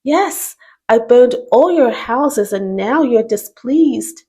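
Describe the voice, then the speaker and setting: A woman's voice: fairly high pitch, lively intonation, slow pace, dark tone, slight background noise, voice pushed up. Sniffly baby dragon, as a woman puts him on. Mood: apologetic. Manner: sorry and pleading. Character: sick, apologetic baby boy dragon, huge.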